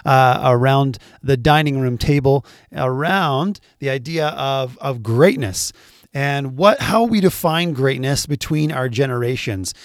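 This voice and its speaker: A man's voice: medium pitch, animated, unhurried, no echo. Casual man podcast host, dry close sound. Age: 30s. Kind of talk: casual conversational interview.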